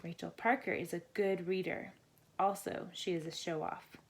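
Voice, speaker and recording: A woman narrating a children's bedtime story in deliberate, short, simple phrases. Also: lowish voice, fairly even intonation. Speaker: storybook reader.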